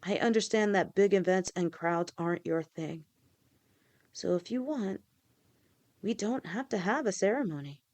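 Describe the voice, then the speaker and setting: A woman voicing a loving, protective lamia fiancée in an audio roleplay, speaking gently and reassuringly. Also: mid-range voice, moderate pace, lightly acted. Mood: tender. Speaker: voice actor.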